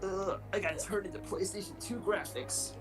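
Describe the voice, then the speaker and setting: Man reacting casually over loud music. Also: sits high, normal speed. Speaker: commentator.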